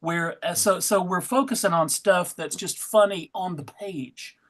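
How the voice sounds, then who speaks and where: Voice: medium pitch, unhurried. A convention panel member and organizer chatting casually in a group of friends.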